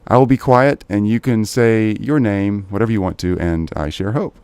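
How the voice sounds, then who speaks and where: Deep-voiced man hosting an upbeat chat. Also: normal speed, darkish tone, smooth. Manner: upbeat, encouraging, lots of fillers. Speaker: podcast host. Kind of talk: casual interview.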